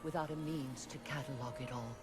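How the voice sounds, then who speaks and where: An actress playing an ancient female alien spirit in a video game, solemn, stately and measured. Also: extremely low pitch, fairly even intonation, over loud music. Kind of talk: cinematic game acting.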